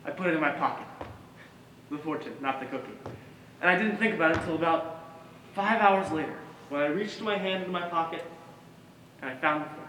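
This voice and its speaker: A man plays an earnest guardian figure in a stage play, devoted and protective. His voice sits a little high and he delivers his lines with deliberate, emphatic pauses. It carries from a distance through a reverberant hall with faint noise. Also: lightly acted. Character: earnest, protective male guardian with patchy memory. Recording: echoey hall from far off, noisy.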